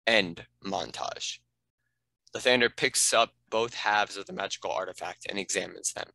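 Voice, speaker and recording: A man's voice: sits low, moderate pace, creaky, sharp tone, no room sound. A man narrating the action and stage directions of a script.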